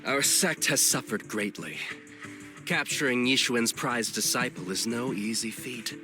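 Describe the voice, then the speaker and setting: An actor playing a pompous, grandiose villain, a menacing cult zealot in a video game. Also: male voice, medium pitch, a little slow, music in the background, close to an everyday voice. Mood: gloating. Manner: grandiose, gloating.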